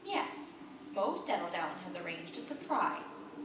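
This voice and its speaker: A woman's voice: fairly low pitch, sing-song, a little slow. A woman reading literary prose aloud in a live staged performance. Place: theater stage, recorded live. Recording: echoey, distant live theater recording, noisy.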